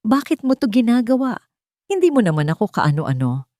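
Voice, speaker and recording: A woman with a Filipino accent voicing a frightened, vulnerable young woman, soft and hesitant, in a romance story. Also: on the high side, very animated, moderate pace, no room sound, clean studio sound, voice pushed up. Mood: fragile, bewildered.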